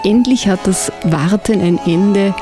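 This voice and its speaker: A woman's voice: medium pitch, unhurried, dark tone, soft background music. A middle-aged woman, a festival director, speaking matter-of-factly in an interview inside a church into a handheld mic. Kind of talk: interview answer. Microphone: handheld reporter mic.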